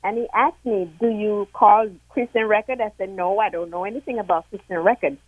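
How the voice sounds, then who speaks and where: A woman from Jamaica, a guest on a radio show, calmly telling a personal story. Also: medium pitch, a little fast, no room sound. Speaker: guest, board member. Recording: tinny phone line.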